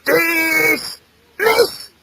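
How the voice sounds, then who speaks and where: A woman's voice: fairly low pitch, sing-song, very slow, slight background noise, full character voice. A woman voicing a stern, angry octopus father who barks and growls commands in a children's story. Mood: commanding.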